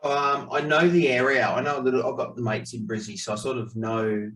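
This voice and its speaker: A man's voice: mid-range voice, steady tone, brisk, fairly bright tone, rough recording. A man hosting a rugby coaching podcast, reading his intro steadily and asking easygoing questions. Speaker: podcast host. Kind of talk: scripted podcast intro and casual interview.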